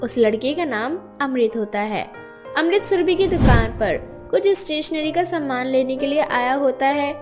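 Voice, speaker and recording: High-pitched woman story narrator. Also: lively intonation, measured pace. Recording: music behind the voice.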